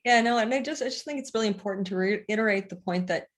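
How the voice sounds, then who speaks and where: Woman from the Bahamas, guest naturopath, earnest, quick pace, lots of fillers. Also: mid-range voice. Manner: earnest, frequent filler phrases. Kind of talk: casual interview talk.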